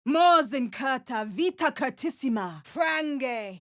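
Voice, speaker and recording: A woman voicing a spellcasting adventurer, intoning drawn-out incantations in a theatrical way. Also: sits high, expressive, a bit bright, pitched above the performer's own voice, exaggerated voice. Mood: chanting. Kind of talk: video game voice lines.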